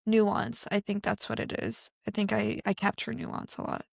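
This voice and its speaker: Gravelly-voiced woman talking things through. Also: medium pitch, little pitch movement, moderate pace, no echo, studio quality. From Canada. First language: French. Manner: reflective, searching for words. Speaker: podcast guest, business owner. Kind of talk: casual interview talk.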